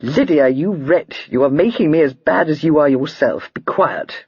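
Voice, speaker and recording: A warm-hearted, teasing young gentleman who speaks to a girl with easy fondness, a touch lower than the narration. A woman reads him in her own breathy voice, dry and slightly muffled. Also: animated, normal speed, dry recording, full character voice. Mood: fond.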